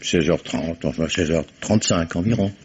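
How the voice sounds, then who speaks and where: An actor playing a fussy, eloquent lawyer under suspicion who speaks in elaborate, slightly pompous phrases with hesitations. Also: male voice, low voice, moderate pace, a little mellow, slight background noise, natural voice. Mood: defensive. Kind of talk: 1950s radio drama acting. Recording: dull lo-fi archive radio recording.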